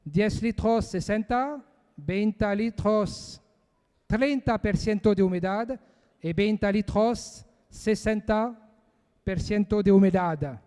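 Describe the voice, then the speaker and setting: High-voiced man in an echoey hall. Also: warm tone, smooth. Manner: halting, searching for words in a second language. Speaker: conference speaker. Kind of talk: technical presentation.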